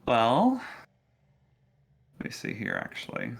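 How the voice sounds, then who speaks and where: A man's voice: medium pitch, huge pitch swings, slow pace, gravelly, slightly rough. A man acting as game master, casually narrating a tabletop role-playing session and explaining its rules.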